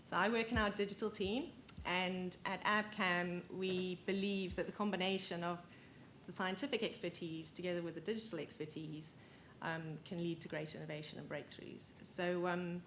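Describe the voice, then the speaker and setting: A woman with a South African accent introducing herself on a conference panel, friendly and quick to laugh. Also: lowish voice, steady tone, a bit bright, slight background noise. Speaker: panelist, analytics team lead.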